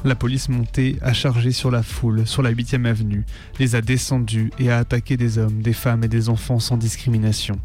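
Mellow man reading in an even voice. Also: medium pitch, a little fast, soft background music. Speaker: reader of a quoted testimony. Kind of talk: scripted reading of a historical account.

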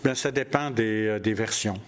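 An older man, a storyteller who talks in a relaxed interview and pauses often with many fillers. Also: on the low side. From Quebec, Canada. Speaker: storyteller and festival organizer.

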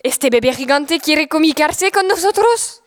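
A woman's voice: high voice, lively intonation, moderate pace, big-room sound. A teenage woman playing a deluded, defiant old knight in an audio drama, speaking in a pompous, declamatory manner. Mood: deluded, combative. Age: teens. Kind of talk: scripted audio fiction acting.

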